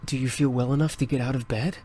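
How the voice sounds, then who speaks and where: A man voicing a calm, soft-spoken young male incubus in a visual novel game. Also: medium pitch, quick pace, slightly rough, close to an everyday voice. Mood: gentle, reassuring. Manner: quiet, soft-spoken, hesitant. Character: calm gentle young male incubus with mind-reading powers.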